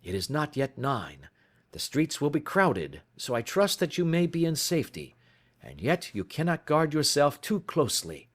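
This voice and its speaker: An urgent Victorian detective acted out by a male audiobook reader, pitched well up and swinging widely in tone. He is brisk and commanding, giving precise, emphatic orders. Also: wildly swooping pitch. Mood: concerned, decisive. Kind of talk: audiobook character voice.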